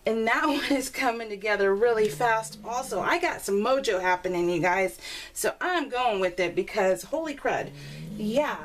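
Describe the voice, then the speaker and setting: A woman with a fairly high voice hosts a homemade craft video. She rambles in a chatty, self-deprecating, giggly way, acting out her own reactions with exclamations. Some background noise and clicking sit under the home recording. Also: normal speed. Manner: animated storytelling with exclamations and self-quotes. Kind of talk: casual rambling chat over a craft process video.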